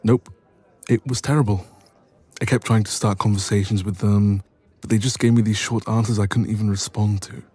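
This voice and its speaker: A man's voice: on the low side, normal speed, slightly rough, dry recording. A man playing a playful, teasing young best friend in a romantic roleplay audio, chatting casually and intimately. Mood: exasperated. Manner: playful, teasing, expressive, soft laughs.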